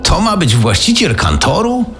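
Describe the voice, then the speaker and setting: An actor playing a sour, grumbling middle-aged killer in a radio crime reenactment. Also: male voice, on the high side, wildly swooping pitch, moderate pace. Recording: music playing underneath.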